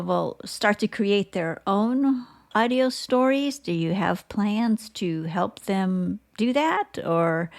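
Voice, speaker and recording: Creaky-voiced woman hosting an interview. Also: fairly low pitch. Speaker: podcast interviewer, host. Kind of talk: conversational interview questions.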